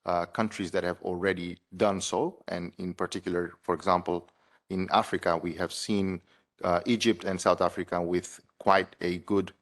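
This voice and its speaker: Male PhD candidate, deep voice, hesitant but formal replies. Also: level tone. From Tanzania. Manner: measured, with frequent hesitations and restarts. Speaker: PhD candidate defending a thesis. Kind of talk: formal academic answers.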